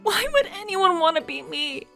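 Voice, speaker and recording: A woman's voice: extremely high pitch, normal speed, gravelly, bright, forceful tone, faint music behind, pitched above the performer's own voice, heavily acted. A woman voicing an anxious, self-loathing middle-aged woman with a hesitant, stammering delivery in a story. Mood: despairing.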